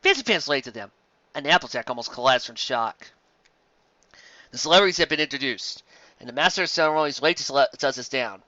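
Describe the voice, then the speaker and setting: A man reads scripted fiction as a narrator. His delivery is bright and lively. The recording is dry but a little dull and lo-fi. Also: medium pitch, fairly quick, no echo.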